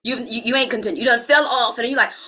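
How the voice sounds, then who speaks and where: Woman in her 40s, slightly high voice, live health coach, loose and talkative with her viewers. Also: very fast. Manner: chatty, talks straight to viewers in chat; relaxed, slangy delivery. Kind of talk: live-streamed coaching talk.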